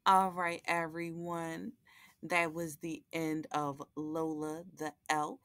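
Low-voiced woman reading to kids. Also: flat delivery, slow pace, fairly bright tone, no room sound. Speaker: storyteller reading a children's book aloud. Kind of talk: read-aloud with casual asides to young listeners.

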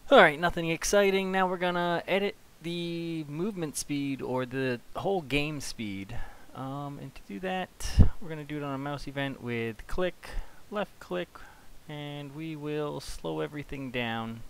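A man hosting a casual software tutorial, talking informally and thinking aloud as he works. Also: a little high, unhurried, few pauses. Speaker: tutorial host. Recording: clear background hiss.

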